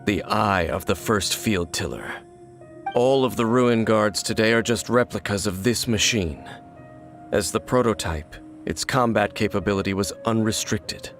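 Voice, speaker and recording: An actor playing a grave, guarded warrior in an anime-style video game, speaking in a measured, formal way. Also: male voice, fairly low pitch. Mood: solemn. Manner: measured, formal, serious, explanatory. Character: grave, guarded male warrior, knowing and solemn. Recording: studio voice over background music. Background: game music.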